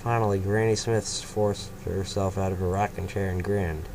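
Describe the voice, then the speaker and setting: A man's voice: low voice, fairly even intonation, moderate pace, noisy background. A man reading a fanfic story aloud casually, tripping over words and chatting in asides. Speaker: fanfiction reader.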